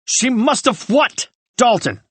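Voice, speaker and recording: A man voicing a grieving father who is furious and bitter, lashing out loudly. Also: high-pitched, a little slow, no echo, pitched above the performer's own voice. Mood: enraged. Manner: shouting, bitter, seething. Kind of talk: audiobook character reading.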